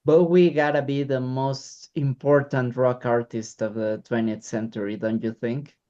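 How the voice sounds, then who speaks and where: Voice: mid-range voice, steady tone, a little slow, mellow. An interviewer asking a musician questions, chatty and adding opinions of their own. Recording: dull lo-fi audio.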